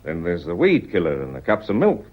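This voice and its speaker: An actor playing a courteous but relentless police inspector in a radio play, questioning in a deliberate, insistent way. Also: male voice, sits low, huge pitch swings, husky, dull audio, full character voice. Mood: measured, probing.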